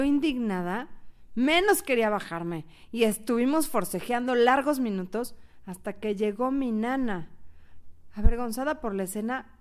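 A woman's voice: fairly high pitch, natural voice. A woman playing a hurt, jealous young woman who narrates her own story earnestly in a radio novel. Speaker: first-person narrator.

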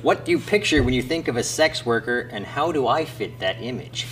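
A man in his forties chatting candidly and jokingly in a bright studio. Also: mid-range voice, animated, fairly quick, recorded from a distance, noisy background. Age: 40s. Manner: jokey, candid storyteller. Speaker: interview show participant. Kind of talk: casual conversation.

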